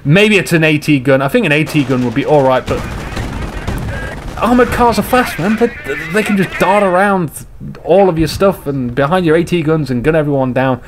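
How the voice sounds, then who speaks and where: A man casually commentating on a game replay, slightly hoarse, rambling and joking with his viewers. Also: fairly high pitch, moderate pace, noisy background. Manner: rambling, jokey, chats to viewers.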